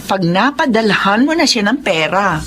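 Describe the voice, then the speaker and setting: An actress playing a sly, confident woman who coaxes village girls in a Filipino radio drama, smooth-talking and persuasive. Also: mid-range voice, sing-song, moderate pace, loud music behind the voice, lightly acted. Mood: coaxing, generous. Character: sly, coaxing well-off woman recruiter luring village girls. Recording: faint background noise.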